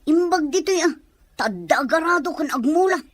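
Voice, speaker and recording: Actress as stern, scornful farm aunt, high register, sarcastic scold, dry studio-like sound, audio drama. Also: female voice, expressive, moderate pace, dry recording. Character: gruff, scornful rural aunt demanding farm work. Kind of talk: Ilocano audio drama dialogue.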